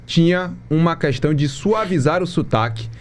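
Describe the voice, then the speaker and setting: A man's voice: fairly high pitch, animated, moderate pace, a little hiss or hum. A Brazilian man on a sports talk panel, explaining his view earnestly. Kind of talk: casual sports talk chat.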